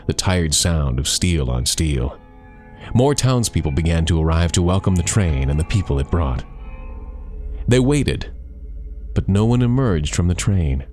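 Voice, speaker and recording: A man reading a scary story as a storyteller, with suspenseful, deliberate dramatic phrasing. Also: low voice, a little mellow.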